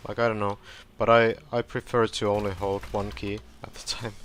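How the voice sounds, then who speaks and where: A man casually talking through a game walkthrough, relaxed and rambling with fillers, his voice going hoarse. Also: lowish voice, normal speed, slight background noise. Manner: relaxed, rambling, with frequent fillers and self-corrections. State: hoarse, clearing his throat. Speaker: gameplay tutorial streamer. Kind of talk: casual gameplay walkthrough commentary.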